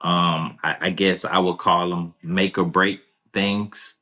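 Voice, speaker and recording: A man answering interview questions in a relaxed, rambling way, full of fillers and restarts. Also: low-pitched, slow. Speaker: guest.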